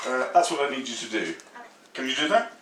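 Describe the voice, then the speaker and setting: A middle-aged man, hosting a home cooking demonstration in a kitchen, chatty, playful and excitable. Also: mid-range voice, quick pace, roomy sound, recorded from a distance, background noise. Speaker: cooking show host.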